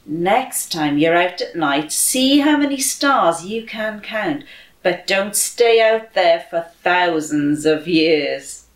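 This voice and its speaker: Slow-paced woman in an echoey room. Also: lowish voice, lively intonation. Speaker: children's church story reader. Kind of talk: slow expressive read-aloud and prayer for children. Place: bright room at home by a window, indoors. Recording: roomy echo.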